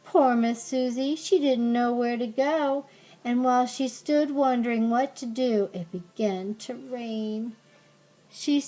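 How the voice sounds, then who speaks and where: An older woman reading a storybook aloud like a grandmother, with gentle, simple asides to a young listener. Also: a little high, low-quality recording.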